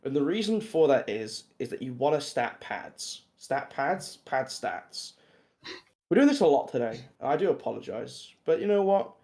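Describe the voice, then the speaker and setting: A man's voice: medium pitch, sing-song, normal speed, darkish tone. A man commentating a tactical shooter esports match, chatty and joking with his co-caster between plays. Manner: chatty, self-deprecating banter between plays. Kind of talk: play-by-play commentary. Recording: broadcast with noisy game audio.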